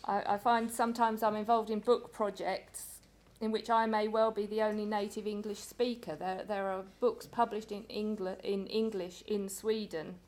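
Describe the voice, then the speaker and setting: Measured woman speaking on a panel. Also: medium pitch, little pitch movement, a little mellow. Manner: measured, with stumbles and repeated words. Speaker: literary translator on a panel. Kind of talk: panel talk.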